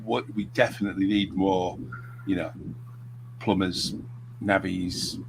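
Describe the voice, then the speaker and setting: Very deep-voiced man, noisy line. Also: fairly even intonation, a little slow, creaky. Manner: rambling, frequent "you know" fillers. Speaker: host. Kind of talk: casual political chat. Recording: noticeable background noise.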